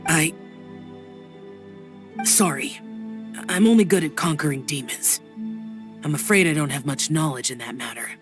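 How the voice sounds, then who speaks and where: A voice actor playing a reserved young male warrior in a game, quiet, hesitant and terse. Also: fairly high pitch, unhurried, long pauses, lightly acted. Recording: game cutscene with music behind.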